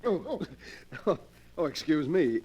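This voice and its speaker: Nervous young man, stammering and flustered, highish lively pitch, vintage radio thriller with loud noise. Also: takes it slowly, highs cut off. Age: young adult. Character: nervous, polite young man, easily frightened. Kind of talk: 1940s radio thriller acting. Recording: very noisy 1940s radio recording.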